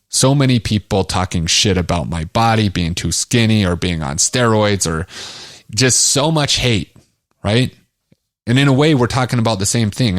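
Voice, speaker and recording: Low-voiced man giving a motivational talk. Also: no echo, clean studio sound. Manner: emphatic, blunt, casually profane. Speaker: podcast host, motivational coach. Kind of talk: motivational podcast monologue.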